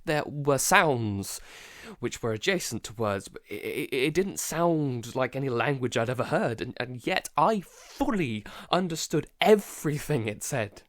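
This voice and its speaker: Guy acting a paranoid shut-in in an audio drama, stammery n whispery, slow, pitch all over, very dry close sound. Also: lively intonation, no room sound, lightly acted. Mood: haunted, shaken. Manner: halting, stammering, sighing, dropping into whispers. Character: paranoid isolated man unravelling under a creeping unseen menace. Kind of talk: audio drama monologue, fragmented confessional.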